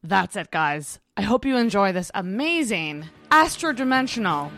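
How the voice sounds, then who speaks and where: Lively, big-voiced woman podcaster. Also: medium pitch, moderate pace, no room sound, clean studio sound, faint music behind. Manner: big-voiced, gushing, swears freely. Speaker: podcast host. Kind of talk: casual, chatty podcast talk.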